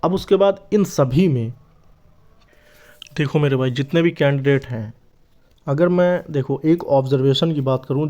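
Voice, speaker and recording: A man's voice: mid-range voice, dark tone. A man giving an informal explainer to viewers as an online presenter, addressing them in a familiar, brotherly way with frequent check-in tags. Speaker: YouTube presenter of exam updates.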